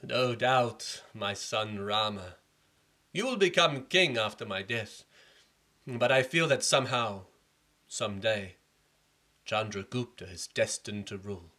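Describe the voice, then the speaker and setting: A man's voice: lowish voice, expressive, pushed and bright, full character voice. Man doing a stern, proud old king. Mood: reassuring. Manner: measured, fatherly, commanding.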